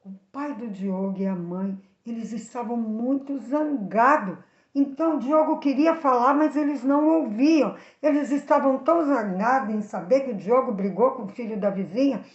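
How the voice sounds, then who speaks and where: Contadora de histórias brasileira, voz aguda com eco. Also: female voice, on the high side. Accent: Brazilian. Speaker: children's storyteller and channel host. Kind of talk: expressive narration of a moral story for children. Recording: echoey room, slightly dull sound.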